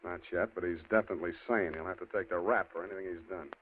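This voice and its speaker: An actor playing a hardboiled private detective who narrates and talks in a clipped, dry way in 1940s radio style. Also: male voice, deep voice, steady tone, very fast, a little hiss or hum, lightly acted. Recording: tinny old radio broadcast with music.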